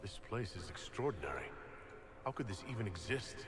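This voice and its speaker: An actor playing a resolute, commanding fantasy leader in a video game, with a formal, measured manner. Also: male voice, lowish voice, big pitch swings. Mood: curious, measured.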